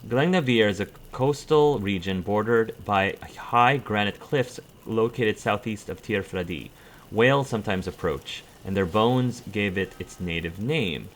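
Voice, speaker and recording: A man's voice: sits low, a bit bright, a little hiss or hum. A man hosting a game playthrough, reading in-game codex entries aloud with stumbles and self-corrections and dropping brief dry asides. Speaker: let's play host.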